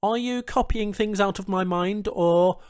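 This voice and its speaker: A man's voice: sits high, a little slow, smooth, dry recording, studio quality, voice pushed up. A man playing an anxious, indignant human captive in a science fiction audiobook, protesting and questioning his captors with wry disbelief. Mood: uneasy, bargaining. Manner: protesting, questioning, wryly incredulous.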